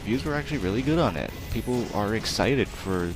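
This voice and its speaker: Young male let's player, very deep and soft-spoken, rambling and self-deprecating, game music loud underneath. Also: animated, fast, rough recording. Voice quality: naturally quiet voice. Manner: rambling, chatty, self-deprecating, many fillers. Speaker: let's play commentator. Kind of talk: casual gameplay commentary.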